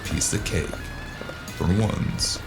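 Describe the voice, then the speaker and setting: A man's voice: slow pace, lightly acted. A man playing a weary, sardonic investigator who mutters dryly in a noir audio drama. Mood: dry, businesslike. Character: weary, sardonic male noir investigator shaking down street dealers. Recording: music behind the voice.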